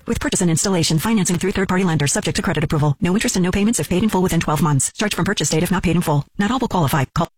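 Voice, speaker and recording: A woman's voice: lowish voice, very fast, few pauses, husky, no echo. A woman announcer giving a scripted, upbeat, salesy commercial read. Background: faint music.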